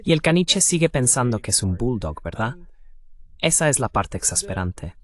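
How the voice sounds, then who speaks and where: A man's voice: medium pitch, sing-song, normal speed. A man voicing an interview host in a Spanish voice-over, conversational and opinionated as he comments and asks questions.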